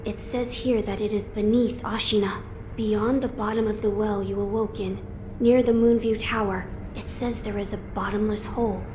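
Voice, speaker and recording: A woman's voice: mid-range voice, fairly even intonation, moderate pace, lightly acted. A woman voicing an earnest, noble young boy lord in a game cutscene, formal and thoughtful in delivery. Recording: clear ambient noise behind dialogue.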